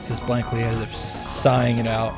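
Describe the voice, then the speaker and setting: A man's voice: mid-range voice, completely flat delivery, normal speed. A man narrating a story recap in a casual, wry way with dramatic emphasis. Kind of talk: casual scripted narration of a visual novel recap. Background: background music.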